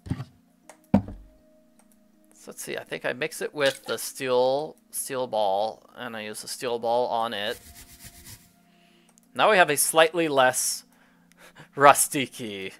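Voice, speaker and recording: A man's voice: mid-range voice, slow, pauses often, fairly bright tone, soft background music. A man giving casual, jokey game walkthrough commentary, musing aloud as he plays.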